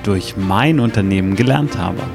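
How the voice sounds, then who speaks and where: A man's voice: lowish voice, moderate pace, vocal fry, over music. A man in his thirties hosting his own podcast, talking directly to the listener in a reflective, advisory way. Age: 30s. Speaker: podcast host, strategy consultant. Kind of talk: solo podcast monologue.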